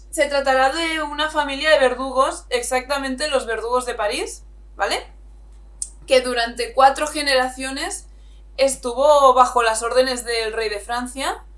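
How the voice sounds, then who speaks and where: Woman, young adult, high pitch, casual self-correcting video review. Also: fairly bright tone. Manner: chatty, self-correcting, apologetic. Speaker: manga reviewer, channel host.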